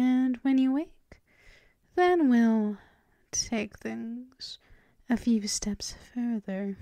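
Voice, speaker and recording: A woman's voice: a little high, dry recording. A woman playing a dominant, teasing vampire mistress in an intimate ASMR roleplay, whispering breathily and lingering over repeated endearments. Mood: soothing. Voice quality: breathy whisper mixed with soft voiced speech. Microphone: close microphone.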